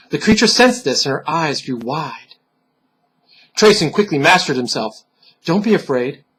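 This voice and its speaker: Husky, high-voiced man reading dramatically. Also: fairly bright tone, rough recording. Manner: theatrical, solemn, deliberate with dramatic pauses. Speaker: storyteller reading a horror fantasy tale. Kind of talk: dramatic scripted narration.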